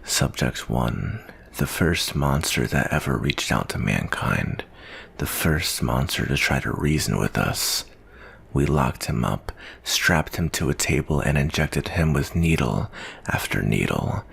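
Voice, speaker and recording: A man playing a jaded, guilt-ridden monster-hunting soldier in an ASMR roleplay monologue, speaking in a slow, brooding, weary way with a gravelly, husky voice. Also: a bass voice, expressive, fairly bright tone, full character voice. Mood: somber, regretful.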